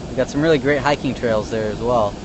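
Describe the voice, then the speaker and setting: A man's voice: mid-range voice, fast talker. Laid-back man ranger, noisy outdoors. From North Bay of California. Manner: laid-back, explaining with lots of you know. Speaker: park ranger. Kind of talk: casual live-stream explanation. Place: outdoor elevated viewing platform by a river. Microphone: phone live-stream. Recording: outdoor noise behind the voice.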